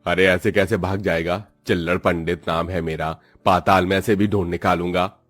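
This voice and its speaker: A man's voice: deep voice, fairly even intonation, moderate pace, a bit bright, soft background music. A man voicing a bossy, impatient foreman and landlord in a cartoon moral story, barking and domineering. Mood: threatening.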